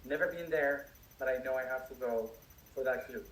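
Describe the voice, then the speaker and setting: A man's voice: mid-range voice, a little hiss or hum. Guy answering spiritual questions, lots of ums and restarts, kinda far off mic, faint bugs chirping. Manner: slow, searching speech with many restarts and fillers. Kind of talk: live Q&A, unscripted. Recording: echoey room, distant mic, faint crickets.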